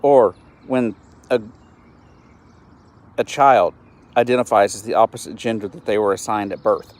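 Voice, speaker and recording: A man's voice: lowish voice, moderate pace, darkish tone. Frustrated man in his thirties. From East Texas, US. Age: 30s. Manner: earnest, frustrated, repeats and restarts words. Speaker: host of a live-stream show. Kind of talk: solo political commentary live stream.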